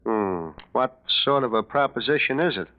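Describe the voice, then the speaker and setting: An actor in a 1940s radio drama playing a worried, debt-ridden garage owner with a hesitant way of talking. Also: male voice, mid-range voice, lively intonation, normal speed, natural voice. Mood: wary, weary. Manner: hesitant, stammering, pleading. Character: anxious, debt-ridden garage owner, weary adult man. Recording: dull lo-fi 1940s radio recording.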